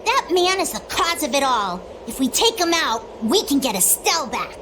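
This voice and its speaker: A woman's voice: high voice, sing-song, moderate pace, a bit bright. A woman voicing a feisty, determined little pirate girl in a video game, with a resolute manner. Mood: determined, grim. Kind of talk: dramatic video game voice acting. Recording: noisy ambient background.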